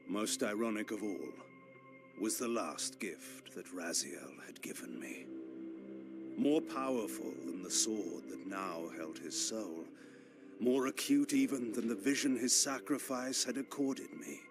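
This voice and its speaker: Proud old vampire game character, low gravelly voice, brooding and theatrical, music behind. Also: male voice. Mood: solemn, reflective. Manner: theatrical, solemn, deliberate. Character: proud, brooding ancient male vampire antihero. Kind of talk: dramatic scripted game narration.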